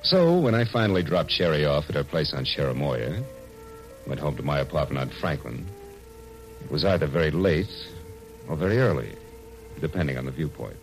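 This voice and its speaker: An actor playing a wry, easygoing, flirtatious private detective in a 1940s radio drama. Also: male voice, extremely low pitch, big pitch swings, brisk, heavily acted, poor audio. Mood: wistful, wry narration. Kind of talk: 1940s radio drama acting and first-person narration. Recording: old radio recording with music behind.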